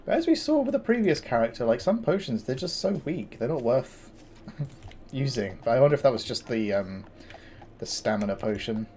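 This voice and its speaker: A man with a gravelly morning voice, casually commenting on his game as he plays, thinking aloud with fillers and restarts. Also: medium pitch, dark tone. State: tired morning voice. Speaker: gameplay commentator. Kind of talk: casual let's-play commentary.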